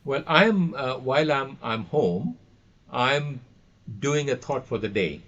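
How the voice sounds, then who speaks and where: A man's voice: medium pitch, expressive, slow, a bit bright. A middle-aged or older man with an Indian accent answering interview questions as a guest trainer, telling anecdotes and repeating phrases for emphasis. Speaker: audio and radio trainer, podcast guest. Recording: dull, lo-fi call audio.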